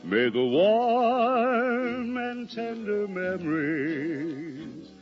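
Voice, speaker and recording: A man's voice: high voice, very animated, extremely slow. A man performing a sentimental farewell verse, half sung, on an old-time radio variety show. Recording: dull vintage radio broadcast with music. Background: orchestra music.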